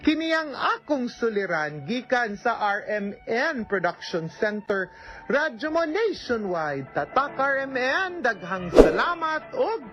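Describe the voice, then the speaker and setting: A woman's voice: on the high side, big pitch swings, slow pace, soft background music. A woman with a Philippine Bisaya accent hosting a radio advice program, explaining legal matters conversationally and often checking that she is followed. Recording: dull, lo-fi radio recording.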